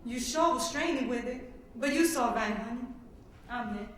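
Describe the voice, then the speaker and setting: A tender, protective Southern wife with a fairly high voice, acted by a teenage girl in a stage reading. The hall is very echoey, with faint noise underneath. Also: normal speed, natural voice. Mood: tender, soothing.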